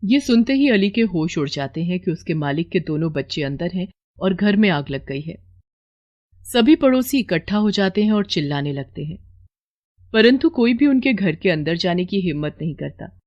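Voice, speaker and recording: A woman's voice: on the low side, big pitch swings, moderate pace, a little mellow, highs cut off. A woman narrating a scripted moral story as storyteller.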